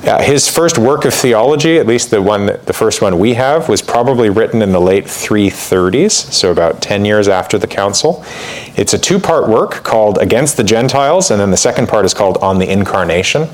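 A man with a somewhat low voice lectures on church history in a loose, conversational way. He pads his sentences with fillers and side remarks. When he reads a quotation aloud, he leans into it with more weight. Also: expressive, moderate pace. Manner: conversational, with frequent fillers and asides. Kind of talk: informal lecture.

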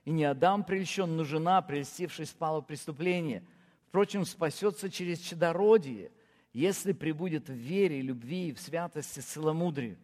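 A man's voice: fairly high pitch, normal speed, darkish tone, big-room sound. A man, a pastor, preaching a sermon and counting off his points with firm, rising emphasis.

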